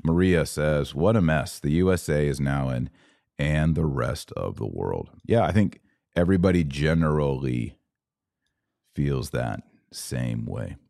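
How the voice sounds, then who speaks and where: A man with an exceptionally deep voice hosts a casual live podcast. He talks conversationally, reading comments from viewers and answering with his own commentary. The sound is dry, with little room around the voice. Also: expressive, unhurried, vocal fry, dark tone, dry recording. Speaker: podcast host.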